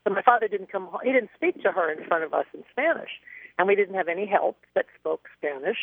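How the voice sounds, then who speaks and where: A woman's voice: medium pitch, animated, a little fast, vocal fry, husky, dry recording. A woman singer telling stories as a guest in a radio interview, chatty and reflective, heard over a phone line.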